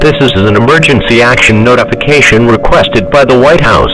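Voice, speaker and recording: A man's voice: medium pitch, fairly quick, noisy background. A man delivering a scripted emergency broadcast announcement in a formal, official manner.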